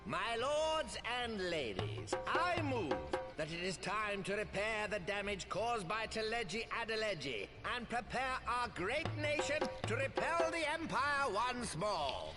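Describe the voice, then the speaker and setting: An actor playing a pompous, scheming lord of a trading city in a fantasy game, with a drawn-out theatrical delivery. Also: male voice, high-pitched, sing-song, over music. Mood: rousing, declamatory.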